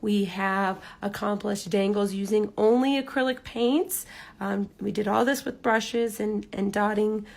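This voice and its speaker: A woman with a hoarse, raspy voice leading a live craft tutorial, chatty with lots of ums, dropping almost to a whisper as she works. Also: medium pitch, unhurried. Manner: chatty, relaxed, frequent ums, drops to near-whisper while focusing. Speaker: rock painting instructor.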